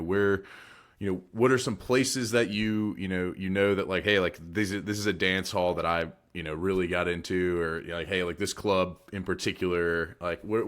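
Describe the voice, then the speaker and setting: A man's voice: low voice, a bit bright. A man hosting a podcast interview, asking long, rambling questions full of "you know". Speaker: podcast host. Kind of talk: casual interview.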